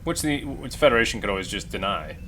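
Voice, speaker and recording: A man's voice: fairly low pitch, very animated, fast talker, bright, forceful tone. A man playing a starship captain in a casual tabletop game discussion. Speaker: player acting as ship captain. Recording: clearly noisy background.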